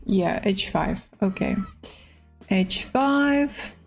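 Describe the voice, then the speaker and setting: Female chess streamer, native Russian with Russian accent. Unhurried, halting English, mid pitch, music underneath. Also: expressive, creaky. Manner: halting, hesitant English with pauses. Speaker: livestream host and chess player. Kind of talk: casual livestream chat while playing chess.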